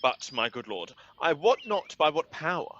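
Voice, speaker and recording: An earnest young lover acted by a man pleads in courtly verse for radio. His bright, tense voice sits on a dull recording with faint noise. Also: fairly high pitch, big pitch swings, moderate pace. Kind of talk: radio play Shakespeare.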